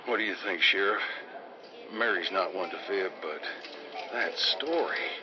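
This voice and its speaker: Worried, kindly father: low, expressive voice, dull vintage radio play with music. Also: a little slow, noisy background. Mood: troubled. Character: gentle, troubled father of a young girl. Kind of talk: old-time radio drama acting.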